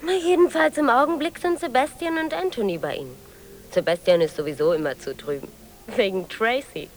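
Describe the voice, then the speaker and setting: An actress playing an impulsive, exasperated grown-up daughter in a radio drama, youthful and pleading. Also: female voice, a little high, brisk, close to an everyday voice. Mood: hesitant, blurting.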